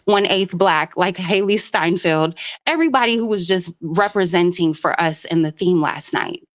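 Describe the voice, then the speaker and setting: A woman from Jamaica phones in to a live stream and speaks at length. She is earnest and rambles, filling her pauses with "um." Her voice sits a little low. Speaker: caller. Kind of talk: casual call-in commentary.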